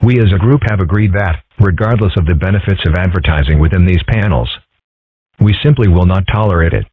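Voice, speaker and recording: A man voicing a calm, dry-witted young fox boy in a fan comic dub. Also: low-pitched, a little fast, breathy edge, dry recording. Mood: deadpan. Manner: deadpan, wry. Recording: dry, tinny phone-like audio.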